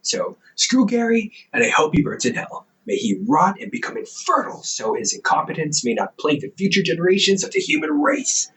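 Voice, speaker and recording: A man's voice: sits high, big pitch swings, fairly quick, few pauses, a bit bright, distant mic, rough recording. A man playing a goofy caring older brother. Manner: playful, chatty, laughs often, dramatic bursts. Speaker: audio roleplay performer. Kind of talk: audio roleplay to the listener.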